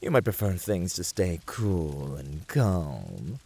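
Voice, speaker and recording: Guy playing a flirty teasing fiery incubus, asmr roleplay, slow n theatrical, really swoopy low voice. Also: big pitch swings, creaky, mellow, husky, heavily acted. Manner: theatrical, teasing, drawn-out delivery with swooping emphasis. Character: flirty, fiery male incubus, playful teasing servant-rival.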